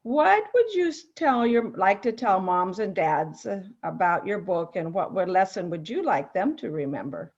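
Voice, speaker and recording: A woman's voice: mid-range voice, big pitch swings, moderate pace, few pauses, a little mellow. A woman hosting a children's storytime, chatting casually with a guest and addressing young viewers and parents, on a dull, muffled recording.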